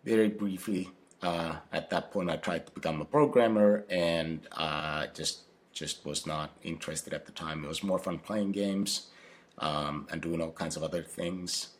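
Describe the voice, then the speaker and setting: A man from Finland, answering interview questions in a halting way, full of fillers and restarts. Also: sits low, fairly even intonation. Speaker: podcast guest, company founder.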